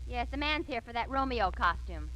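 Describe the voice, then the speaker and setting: An actress playing a wisecracking, teasing friend in 1940s American radio comedy, on a slightly noisy old recording. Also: female voice, a little high, expressive, normal speed, sharp tone. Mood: brisk.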